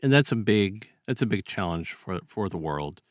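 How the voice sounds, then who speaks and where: Halting male podcast guest, close dry sound. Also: on the low side, animated, dry recording. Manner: halting, repeats words emphatically as he builds a point. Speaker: podcast co-discussant. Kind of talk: casual reflective discussion.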